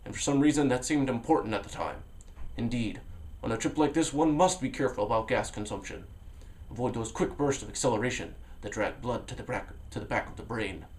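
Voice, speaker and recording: Man reading a novel aloud dramatically. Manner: expressive, with sudden jumps in pitch and loudness; drops to a low, hushed voice for inner thoughts. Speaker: vlogger reading a book aloud.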